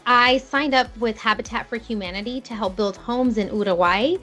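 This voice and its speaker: A woman's voice: a little high, normal speed, pushed and bright, soft background music. A woman in her thirties hosting an interview podcast, bubbly and affirming, with frequent fillers. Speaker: podcast host. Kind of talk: casual interview chat.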